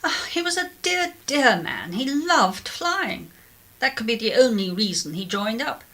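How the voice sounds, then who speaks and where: A woman's voice: on the high side, big pitch swings, unhurried, sharp tone, heavily acted. An actress playing a genteel, regretful middle-aged clergyman's wife in a 1930s radio drama, speaking in a formal, hesitant way. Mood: wistful.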